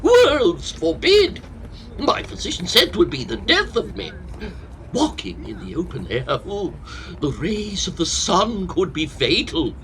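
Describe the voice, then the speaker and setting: A man's voice: high voice, huge pitch swings, a little slow, fairly bright tone, full character voice, poor audio. A man acting a fussy, self-pitying older hypochondriac professor in a comic period farce, lamenting in florid theatrical style. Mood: alarmed, dramatic.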